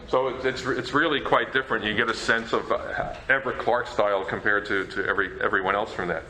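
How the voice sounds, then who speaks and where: A man giving an informal talk as a presenter, in a halting, stammering manner. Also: fairly low pitch, steady tone, bright, forceful tone. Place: presentation room at a convention. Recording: echoey room, faint noise.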